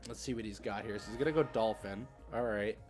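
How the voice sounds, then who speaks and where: A man's voice: fairly low pitch, fast. A man streaming an online card game, thinking aloud in casual, chatty play-by-play with slangy asides. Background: music playing behind the voice.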